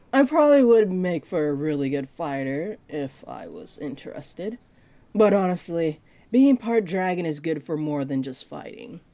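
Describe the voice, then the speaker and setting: Woman voicing a part-dragon librarian, possessive and flirty, lowish mellow voice, intimate teasing delivery, audio roleplay. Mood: candid, confiding. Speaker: voice actor. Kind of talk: roleplay audio drama monologue to listener.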